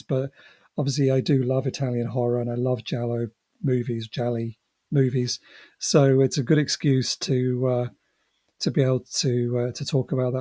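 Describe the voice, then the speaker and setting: A man with a medium-pitched voice hosting a podcast. He chats casually and effusively, with a self-deprecating, appreciative way of talking. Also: dark tone. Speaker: podcast host. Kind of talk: casual chat.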